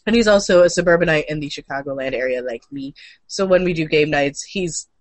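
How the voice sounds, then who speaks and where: A woman co-hosting a podcast in playful, slangy chat, her voice deepened from being sick. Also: fairly quick, dry recording. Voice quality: deep for a woman. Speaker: podcast co-host. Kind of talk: casual chat.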